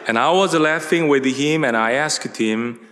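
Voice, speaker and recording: A man in his fifties from South Korea with a Korean accent, preaching a relaxed, conversational sermon with many filler words. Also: medium pitch, unhurried. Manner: relaxed, conversational, frequent uh and you know fillers. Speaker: pastor. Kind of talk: sermon with personal storytelling. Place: large church sanctuary at a pulpit. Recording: long hall reverb.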